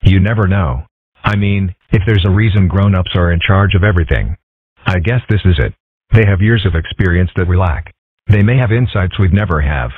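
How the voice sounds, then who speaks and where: Cartoon fox boy, adult male actor: earnest, plain-spoken, deep for a child, slightly rough, very dry sound. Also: normal speed, no room sound, narrow, tinny audio. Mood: matter-of-fact. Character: earnest, thoughtful young boy fox in top hat. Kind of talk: comic strip dub.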